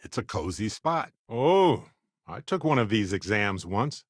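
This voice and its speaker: An actor playing an earnest, wry, talkative space explorer companion in a video game, speaking in a conversational, reflective way. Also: male voice, lowish voice, big pitch swings, unhurried, slightly rough, no echo, clean studio sound, exaggerated voice. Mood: easygoing.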